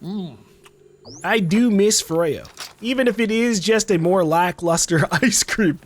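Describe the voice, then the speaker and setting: A man's voice: sits high, unhurried. A man giving casual, playful let's play commentary as host of a gaming video. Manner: playful, joking.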